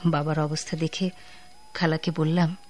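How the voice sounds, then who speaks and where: A woman's voice: deep voice, little pitch movement, normal speed, loud music behind the voice, close to an everyday voice. An actress playing a devoted, dutiful young working woman in a radio drama, with an earnest, caring and firm manner. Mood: sad, reflective. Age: young adult.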